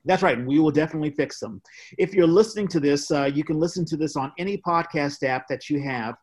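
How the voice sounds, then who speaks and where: A man hosting a podcast, reading out announcements in an informal, halting way. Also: fairly high pitch, darkish tone, slightly rough. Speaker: podcast host. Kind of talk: casual podcast sign-off and announcements.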